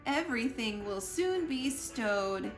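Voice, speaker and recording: A woman reading a children's picture book aloud in an expressive storytime style, with deliberate, playful emphasis on the rhyming words. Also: on the high side. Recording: roomy echo with music behind. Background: music.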